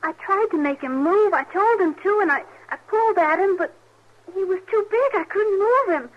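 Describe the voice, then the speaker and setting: High-voiced lonely little frontier girl. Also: lively intonation, normal speed. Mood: upset. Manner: childlike, simple, candid. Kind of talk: old-time radio drama acting. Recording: muffled vintage radio recording.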